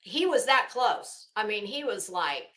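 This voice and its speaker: A woman's voice: medium pitch, very animated, unhurried, fairly bright tone. A woman giving casual, opinionated, rambling commentary as an online host, gossipy and emphatic, stretching out the words she stresses. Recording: roomy, echoing room sound.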